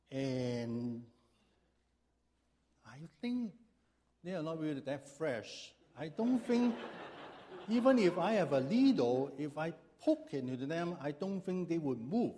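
A man's voice: on the high side, a little mellow. A middle-aged male pastor from Hong Kong preaching in a church, reading scripture and then telling a humorous personal story with frequent fillers.

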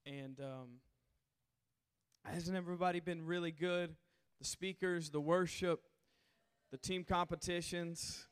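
A hoarse man preaching to a camp crowd in a large hall, calling for responses from the audience. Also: on the high side, steady tone, unhurried. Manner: call-and-response, coaxing the crowd. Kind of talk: sermon to a youth camp crowd. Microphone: handheld stage mic.

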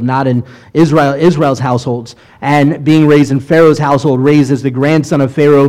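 A man's voice: on the high side, a little mellow. A man, a rabbi, teaching scripture in a conversational sermon style, reading passages aloud between explanations.

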